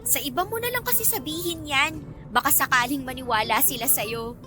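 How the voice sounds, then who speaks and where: A feisty young Filipino woman, played by an actress in a radio romance drama. Her voice is high and sharp, sassy and sarcastic as she bickers. Soft music plays behind her. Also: fairly quick. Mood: teasing. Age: young adult. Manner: sassy, sarcastic, whiny banter.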